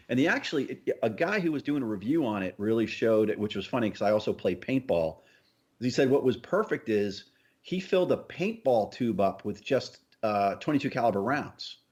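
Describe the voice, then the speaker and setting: A man's voice: on the low side, darkish tone, husky. A man co-hosting a podcast, talking in a casual, informal conversational way. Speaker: podcast co-host.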